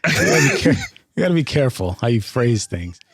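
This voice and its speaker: Husky-voiced man, teasing and lively. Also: medium pitch, quick pace, no room sound. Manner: teasing, laughing interjections. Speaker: podcast co-host. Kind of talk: casual podcast chat.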